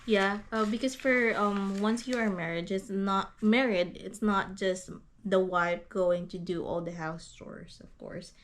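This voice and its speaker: A woman from the Philippines with a Filipino accent, chatting casually on a couple's vlog, halting with fillers and restarts and laughing often. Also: mid-range voice, fairly even intonation. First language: Hiligaynon. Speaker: vlog co-host.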